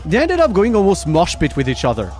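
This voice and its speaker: Male streamer, lively and swinging intonation, informal rambling chat, faint music underneath. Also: high-pitched, fast. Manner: rambling, informal, swears casually; animated, laughs often. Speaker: variety streamer and host. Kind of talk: casual livestream chat to viewers.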